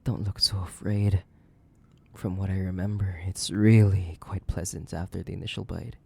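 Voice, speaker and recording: A man playing a sadistic, possessive, centuries-old vampire in a horror roleplay, theatrical and menacing, with a creaky voice that lapses into breathy whispers. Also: low-pitched, flat delivery, normal speed, full character voice. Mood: hungry, coaxing. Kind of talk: dramatic horror roleplay audio, addressing the listener.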